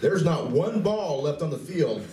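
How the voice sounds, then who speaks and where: A man's voice: fairly high pitch, animated, a little slow, dark tone. A man playing a cheerful game coordinator in a radio play, announcing and giving play-by-play. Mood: commentating. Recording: distant, echoey room with faint noise.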